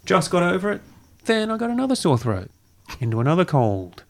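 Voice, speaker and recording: Croaky-voiced male podcast host. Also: high-pitched, sing-song, brisk. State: croaky from a cold. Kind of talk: casual podcast chat.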